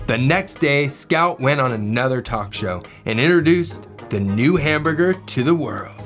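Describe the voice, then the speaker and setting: A man's voice: mid-range voice, animated, a little slow, a bit bright, soft background music. A man co-hosting a children's read-aloud, narrating in a playful, theatrical way.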